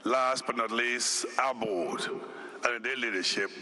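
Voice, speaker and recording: A man from Senegal, an executive director, giving a formal conference speech in a deliberate, measured way, listing his points one by one. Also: mid-range voice, sharp tone, slight background noise. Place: conference hall.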